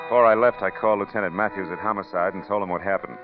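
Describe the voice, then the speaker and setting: A hard-boiled American private eye in a low voice, sardonic and flirtatious, delivering clipped lines and wry narration. It is classic forties radio drama, muffled with age and backed by music. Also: male voice, steady tone, racing. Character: tough, wisecracking male private detective, world-weary and flirtatious. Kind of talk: 1940s-50s radio detective acting and first-person narration. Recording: old muffled radio recording, music behind.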